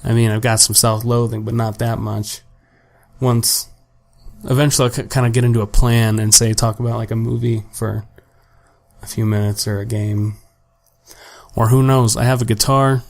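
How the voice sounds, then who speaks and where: A young man, slightly raspy from a long day of talking, hosting a solo podcast at home and rambling casually and profanely about his week, with plenty of self-deprecation. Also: mid-range voice, little pitch movement, moderate pace. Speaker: podcast host. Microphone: home desk microphone without pop filter.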